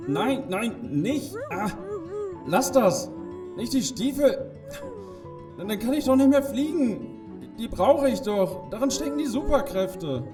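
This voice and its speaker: A man's voice: very high voice, big pitch swings, unhurried, distant mic, music in the background, full character voice. A man playing a flustered, blustering impostor Santa who stammers, in a children's audio play. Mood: pleading.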